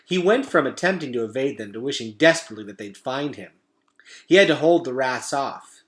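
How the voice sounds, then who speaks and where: A man's voice: medium pitch, expressive, fairly quick, fairly bright tone. A man narrating a children's novel expressively as a read-aloud storyteller.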